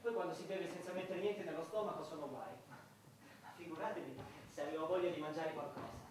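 An actor playing the wistful, wry ghost of a fallen soldier, resigned, in a theatrical radio drama style. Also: male voice, high voice, lightly acted. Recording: very echoey distant room, music behind.

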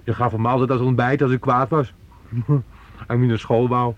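A grown son in a radio drama played by a male actor, talkative and teasing, with a sly menace under his familiar manner. His voice sits at an ordinary male pitch, and there is faint noise on the old recording. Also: flat delivery, normal speed, muffled recording, close to an everyday voice. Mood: slyly teasing. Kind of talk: Dutch radio play acting.

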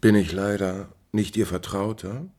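Deep-voiced, insinuating scheming courtier. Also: male voice, fairly even intonation, unhurried, darkish tone. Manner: deferential, insinuating, ironic stage diction. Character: smooth-tongued, scheming male courtier serving a prince. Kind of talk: 1950s German radio play acting.

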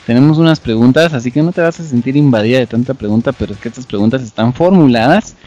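A man's voice: medium pitch, quick pace, warm tone, lo-fi sound. A man hosting a podcast from Guatemala with a Guatemalan accent, talking at length in an enthusiastic way. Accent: Guatemalan Spanish. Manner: enthusiastic, long-winded. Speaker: podcast host. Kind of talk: casual podcast interview.

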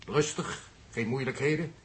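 A man's voice: mid-range voice, moderate pace, close to an everyday voice. An actor playing a cool, precise astrophysicist crewman in a 1960s radio drama, with a dry manner. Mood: reflective. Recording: noisy old radio recording.

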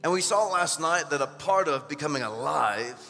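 Male preacher, short phrases for an interpreter, echoey church hall with soft music. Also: on the high side, lively intonation, a little slow, creaky. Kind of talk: sermon with live interpretation.

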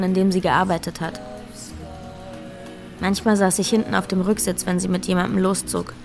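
A woman's voice: mid-range voice, close to an everyday voice. Crime radio play: actress as wounded, streetwise young woman on the run, slangy, mid-range voice, music underneath. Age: young adult. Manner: plain-spoken, slangy. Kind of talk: crime radio drama dialogue.

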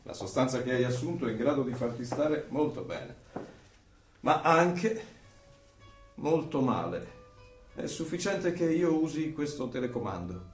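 A man's voice: mid-range voice, expressive, soft background music, lightly acted. Man playing a cold, controlling interrogator, distant and roomy. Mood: cold, commanding. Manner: measured, didactic, menacing, authoritative. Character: cold, controlling male interrogator and torturer of the regime. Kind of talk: radio drama acting. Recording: roomy, distant, faint noise.

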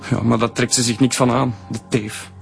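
A man's voice: mid-range voice, flat delivery, moderate pace, faint music behind. An actor playing a nervous, jobless divorced father questioned by police, speaking with a Flemish accent in a radio drama. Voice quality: gravelly, stammering.

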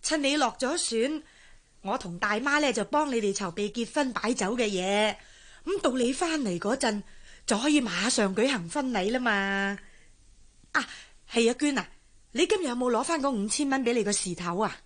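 Meddling well-meaning woman, Hong Kong Cantonese, radio drama, exclaiming, highish pitch, chatty. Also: lively intonation, normal speed. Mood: eager. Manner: exclaiming, fussy.

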